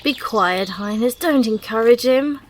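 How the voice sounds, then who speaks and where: A woman's voice: on the high side, unhurried, slight background noise, lightly acted. A woman playing a teasing, grudgingly fond thief with a dry, mock-impatient manner in an intimate ASMR roleplay. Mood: exasperated, wry.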